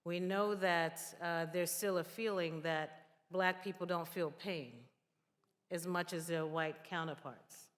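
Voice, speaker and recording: A woman speaks earnestly to make her points as a panelist. Her voice sits on the low side, and the hall around her adds a long reverb. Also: fairly even intonation, slow.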